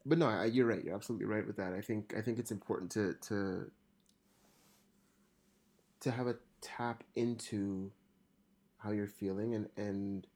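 A woman's voice: a bass voice, steady tone, normal speed, pauses often, darkish tone. A podcast co-host, a woman, chatting bluntly and teasingly, swearing freely and often restarting sentences. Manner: blunt and teasing, swears casually, repeats words when starting sentences. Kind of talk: casual podcast chat.

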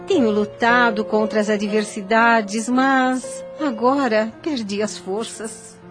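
Despairing young mother, radio soap melodrama, formal drawn-out delivery, sorrowful, music underneath. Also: fairly high pitch, steady tone. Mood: sorrowful, weary. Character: young grieving, ailing mother, devout and despairing. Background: background music.

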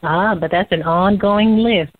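A woman's voice: mid-range voice, unhurried, no room sound. A young woman from Central Florida with a light Southern twang, chatting easygoing as a radio show guest. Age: young adult. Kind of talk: casual interview chat. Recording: thin phone line, dry.

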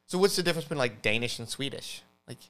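A man co-hosting a podcast, explaining things casually in a halting way, often stammering and correcting himself. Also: mid-range voice, expressive, sharp tone, clean tone. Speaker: podcast host.

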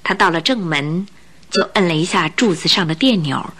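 A woman narrating a radio drama in Chinese, in an expressive, scripted storytelling style. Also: fairly low pitch, normal speed, slightly rough.

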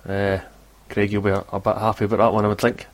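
A Scottish man hosts a football chat podcast in a relaxed, talkative way and keeps putting questions to his guests. His voice sits somewhat low. He sounds unhurried and conversational. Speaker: podcast host. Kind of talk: casual chat.

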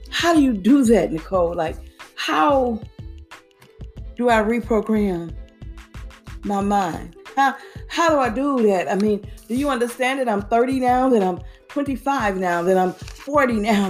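A woman hosting a motivational talk show, preaching with repeated phrases and slangy asides. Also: on the high side. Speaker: podcast host.

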